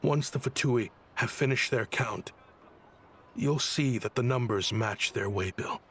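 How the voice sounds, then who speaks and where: An actor playing a smooth, contrite town liaison in a video game, with a pleading, theatrical manner. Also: male voice, medium pitch, measured pace, fairly bright tone.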